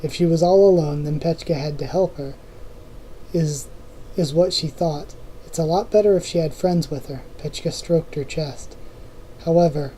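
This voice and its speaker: A hoarse host reading a novel aloud in a casual way, often restarting and correcting lines and adding quick asides. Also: medium pitch, mellow, slight background noise, rough recording.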